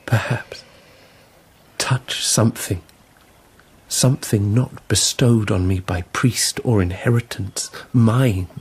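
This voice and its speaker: Slow, husky, restless emperor. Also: male voice, on the low side, exaggerated voice. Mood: troubled, hesitant. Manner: measured, halting, formal. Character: restless young Japanese emperor, earnest and searching. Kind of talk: radio drama acting.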